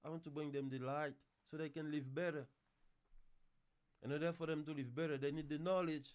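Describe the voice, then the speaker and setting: A man's voice: on the high side, fairly even intonation, a little fast, darkish tone, smooth. A man with a Haitian accent, a pastor teaching and promoting on a livestream in an emphatic, repetitive way. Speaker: pastor and host of a marriage teaching show. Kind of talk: preaching and promotional talk on a livestream.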